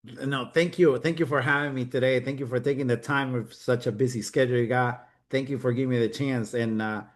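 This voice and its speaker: A man's voice: medium pitch, fairly even intonation, brisk. A middle-aged American man, a guest on a podcast, chatting casually in a rambling way with many restarts and repeated words. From United States. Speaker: guest, web developer. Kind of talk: casual interview chat.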